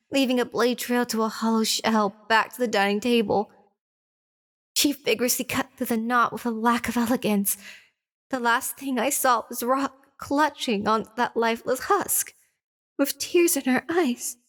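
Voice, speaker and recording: Actress as dying girl narrating her final moments, horror audio play, fairly high voice, wistful. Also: fairly bright tone. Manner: wistful, literary storytelling. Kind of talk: dramatic first-person narration in horror radio drama.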